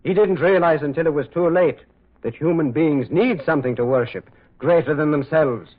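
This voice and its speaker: A man acting a gentle, shrewd priest detective in a radio drama, musing and insistent, repeating himself as he probes. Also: a little high, normal speed, close to an everyday voice. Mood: insistent, revealing. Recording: lo-fi, narrow-band old radio sound.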